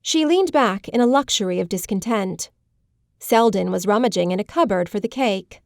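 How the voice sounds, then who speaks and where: Measured woman narrating a novel, dry studio sound. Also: mid-range voice, expressive, creaky, dry recording. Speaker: audiobook narrator. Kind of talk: scripted literary narration.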